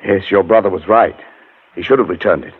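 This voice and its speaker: An actor playing a learned, fearful gentleman under a curse, narrating gravely and deliberately in 1940s radio horror style. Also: low-pitched. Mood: grave, explaining. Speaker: narrator and lead character. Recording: muffled 1940s radio broadcast recording, faint music.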